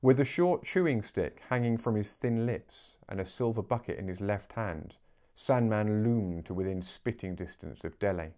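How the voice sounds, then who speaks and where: Guy in his 40s narrating an audiobook, lowish voice, tells it with expression. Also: expressive, moderate pace, warm tone. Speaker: book narrator. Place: small living room, indoors.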